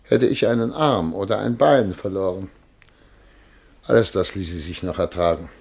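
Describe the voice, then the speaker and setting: Radio drama: vain middle-aged major, gravelly, pompous, aggrieved, theatrical delivery. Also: male voice, low-pitched, normal speed, darkish tone, exaggerated voice. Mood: despairing, lamenting. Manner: pompous, formal, plaintive and indignant. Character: vain, distraught major who has lost his nose.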